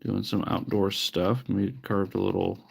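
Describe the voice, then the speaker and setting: Low gravelly man chatting while tinkering. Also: little pitch movement, measured pace, darkish tone. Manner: chatty, wry, mock-disgusted asides. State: sniffly from allergies. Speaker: livestreamer repairing retro handhelds. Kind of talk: casual live-stream chat while working.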